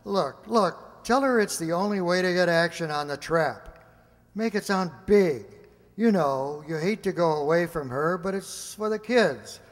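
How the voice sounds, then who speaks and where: An actor playing a persuasive laboratory security chief, deliberate and emphatic, in a radio play. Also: male voice, high-pitched. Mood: cajoling. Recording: echoey hall with long reverb.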